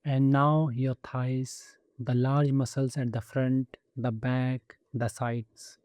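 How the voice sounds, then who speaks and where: A man leading a guided meditation with soothing, gentle instruction and measured pauses between phrases. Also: mid-range voice, flat delivery, dry recording. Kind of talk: guided body scan meditation.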